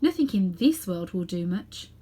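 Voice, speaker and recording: Woman playing a genteel, pitying storybook aunt, husky. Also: lowish voice, expressive, moderate pace, warm tone. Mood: pitying, wistful. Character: kindly, sorrowful grown-up aunt in a storybook.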